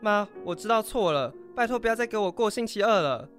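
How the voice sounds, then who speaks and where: An anxious schoolboy in a homemade audio drama, acted by a woman, timid before his strict mother. The voice sits at a middling pitch for a woman, with music clearly playing behind it. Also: lively intonation, a little fast, close to an everyday voice. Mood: frightened, pleading. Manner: stammering, pleading when cornered. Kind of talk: amateur audio drama acting.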